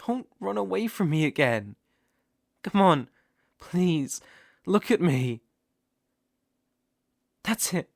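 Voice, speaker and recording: A British man playing an obsessive, softly possessive stalker in an intimate ASMR roleplay, hushed and coaxing. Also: high voice, big pitch swings, takes it slowly, vocal fry, long pauses, dry recording, clean studio sound, exaggerated voice. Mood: anxious, pleading.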